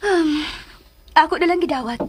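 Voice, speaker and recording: A woman's voice: high voice, normal speed, natural voice. A woman with a Filipino accent playing a weary, guarded, dismissive mother hiding sadness in a radio drama. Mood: guarded, reluctant. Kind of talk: Philippine radio drama acting.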